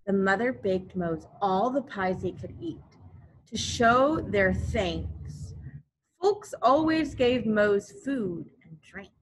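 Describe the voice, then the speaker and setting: A woman teacher reading a children's storybook aloud expressively and deliberately, pausing to explain things to young listeners. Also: medium pitch, animated, breathy edge, low-quality recording. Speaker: elementary school teacher.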